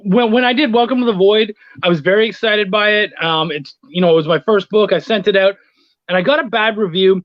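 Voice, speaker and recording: A man with a high voice talks casually as a guest, telling stories and replaying conversations. The sound is dry and a little muffled, like an online call. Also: a little fast, dry recording. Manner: anecdotal, acting out quoted exchanges. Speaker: guest, freelance comics writer and editor. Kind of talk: casual interview chat.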